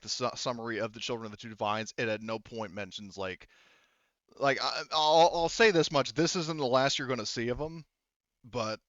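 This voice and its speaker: Halting man game master, slightly dull mic. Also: medium pitch, a bit bright, no room sound. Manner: halting, explanatory, repeats himself. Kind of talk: casual tabletop game narration.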